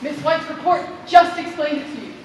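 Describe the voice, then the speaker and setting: A woman's voice: high voice, fairly even intonation, brisk, a little mellow, natural voice. A woman acting in a stage play as a stern, formal, imperious chairwoman of a meeting. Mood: irritated. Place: theater stage, far from the mic. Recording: echoey noisy hall from far off.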